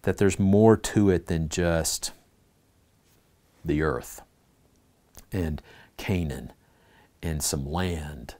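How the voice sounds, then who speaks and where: A man with a low, mellow voice leads a church Bible class. He reads the passages aloud and talks them through earnestly, stopping to put questions to the class. He speaks in a teaching tone. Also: very slow, long pauses.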